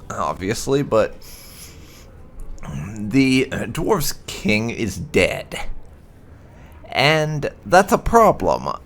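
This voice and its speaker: A man hosting a gaming video, speaking in a halting, deliberate way with drawn-out emphasis. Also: on the low side, a little hiss or hum. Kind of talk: casual let's-play commentary.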